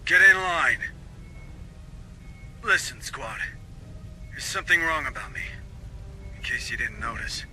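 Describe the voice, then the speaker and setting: Tough, forceful marine sergeant. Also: male voice, medium pitch, animated, a little slow, long pauses, faint music behind. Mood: grave, firm. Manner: terse, commanding. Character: tough, curt male marine squad sergeant. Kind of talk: video game voice acting.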